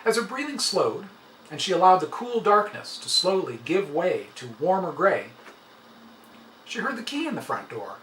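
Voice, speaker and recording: A man reading a short story aloud as a dramatic, expressive narration. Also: high voice, normal speed. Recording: roomy, slightly distant, faint noise.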